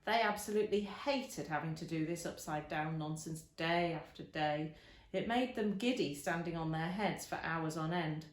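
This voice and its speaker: A woman reading a children's storybook aloud with expressive, emphatic narration. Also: lowish voice. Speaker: storybook reader. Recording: roomy, echoing indoor sound.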